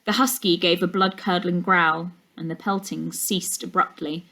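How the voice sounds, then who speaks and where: A woman teacher reading aloud and chatting to her class in an everyday mid-pitched voice. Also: fairly even intonation, normal speed, creaky, pushed and bright. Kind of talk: animated storybook read-aloud with plain chat after.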